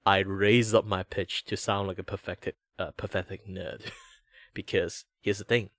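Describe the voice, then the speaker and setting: A man's voice: low-pitched, fairly even intonation, measured pace, fairly bright tone, dry recording, clean studio sound. A young man with a Malaysian English accent, hosting a casual stream while he draws and rambles chattily with lots of fillers. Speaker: streamer host. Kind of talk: casual chat while drawing.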